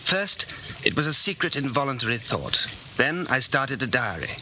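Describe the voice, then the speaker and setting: An earnest, fatalistic rebel played by a man in old radio drama. His mid-pitched voice is grave and intense, with a declamatory delivery under clear broadcast noise. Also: sing-song, normal speed, lightly acted. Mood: fervent, fatalistic. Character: earnest, fatalistic male rebel, secretly in love. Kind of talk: 1940s radio drama acting. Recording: noisy 1949 radio broadcast recording.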